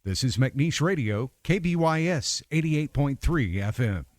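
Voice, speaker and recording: A man's voice: a little high, expressive, measured pace. A man giving a formal scripted announcement as a radio announcer.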